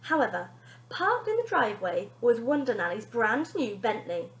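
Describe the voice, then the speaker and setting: A woman reads a children's story aloud like a teacher, with great expression. Her fairly high voice rises and falls widely, and the room adds a clear echo. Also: sing-song, normal speed, a bit bright. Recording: echoey room.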